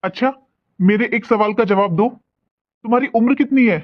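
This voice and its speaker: Woman as high-pitched, insistent cartoon questioner. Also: moderate pace, muffled recording, lightly acted. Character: patient questioner posing a trick riddle. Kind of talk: cartoon comedy skit dialogue.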